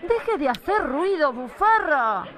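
High-voiced, stern Argentine judge played by a woman. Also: big pitch swings, slow pace, exaggerated voice. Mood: scolding. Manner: scolding, formal, commanding. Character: stern, exasperated female judge giving orders. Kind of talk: comic radio drama acting. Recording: live radio play with crowd noise.

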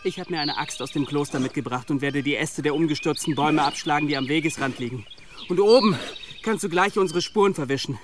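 An actor playing a bossy, pragmatic young monk with an impatient, commanding manner in a German radio drama. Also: male voice, on the high side, fast, noisy background, lightly acted. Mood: strained, commanding.

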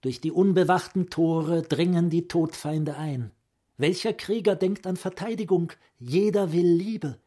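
An actor in a radio play playing a philosophical, probing psychiatrist with an eloquent, rhetorical manner. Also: male voice, a little high, measured pace, dark tone. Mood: fervent, visionary. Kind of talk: radio drama acting, literary monologue.

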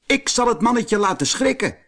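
A man's voice: very high-pitched, sing-song, moderate pace, fairly bright tone, voice pushed up, heavily acted. A man voicing an eager, scheming little wooden toy donkey in a storybook.

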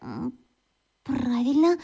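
A woman's voice: a little high, wildly swooping pitch, takes it slowly, gravelly, warm tone, breathy edge, heavily acted. A woman playing a timid, admiring, naive schoolgirl of about eleven in a children's radio play. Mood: anxious.

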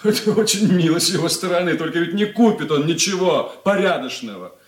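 A fussy, bossy young master of the house as a man acts him in a Soviet radio play. His voice sits fairly high for a man and is peevish and fastidious. The sound is roomy, like a studio stage. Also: expressive, lightly acted. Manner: peevish, fastidious, giving precise instructions. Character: fussy, irritable, bossy adult son of the household.

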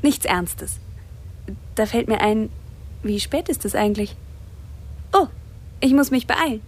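A woman's voice: a little high, lively intonation, unhurried, husky. An actress playing a friendly young female student in a radio drama, cheerful with a wistful streak. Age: young adult.

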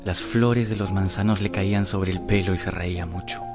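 Clear music runs behind a young gentleman of radio drama. His voice sits fairly low, and he speaks earnestly and dramatically, like a repentant romantic. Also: fairly even intonation, moderate pace. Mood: confessional, earnest.

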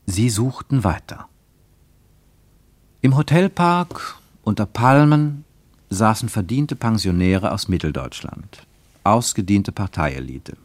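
A man's voice: fairly low pitch, dry recording. A man reading scripted literary narration for a radio play, as its narrator.

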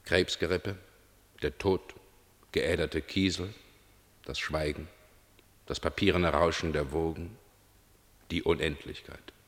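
A man's voice: rumbling low, steady tone, takes it slowly, pauses often, fairly bright tone, full character voice. An actor playing a lyrical male voice in a radio drama, reciting poetic images in fragments through a long hollow reverb. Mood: dreamy.

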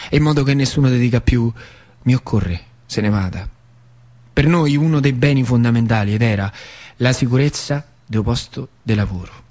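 An actor playing a plainspoken, wistful older factory worker with a central Italian, Lazio accent, in a theatrical monologue with colloquial repetitions. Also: male voice, medium pitch, lightly acted. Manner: colloquial, repetitive, dramatic swings from emphatic to hushed. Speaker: stage actor.

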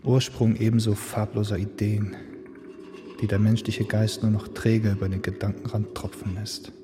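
A man's voice: fairly low pitch, flat delivery, background noise, lightly acted. A man playing a lonely brooding young man. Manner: slow, brooding monologue, often hushed or whispered. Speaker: Kuno, lead in a radio play. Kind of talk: radio drama acting.

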